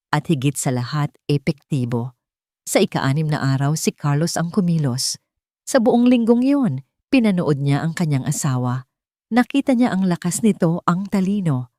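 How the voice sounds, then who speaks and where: Low soft-toned woman reading a dramatic story, measured and sentimental, really dry close sound. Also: no echo. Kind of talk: scripted dramatic narration.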